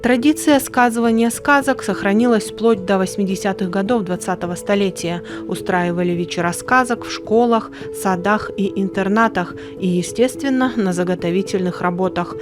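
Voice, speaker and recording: A woman delivers scripted documentary narration at a middle pitch. Music plays clearly behind her voice. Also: normal speed, hardly any pauses.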